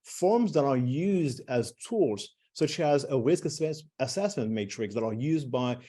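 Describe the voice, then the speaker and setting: A man's voice: medium pitch, expressive, measured pace, warm tone. Man presenting a webinar, many fillers. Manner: loose, with many fillers and restarts.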